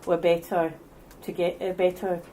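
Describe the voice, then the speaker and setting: A woman with a fairly low voice speaks at a public debate, halting and hesitant, often restarting her sentences as she searches for words. A faint hiss of noise sits under her voice. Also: level tone, mellow, low-quality recording. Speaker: debate speaker against independence.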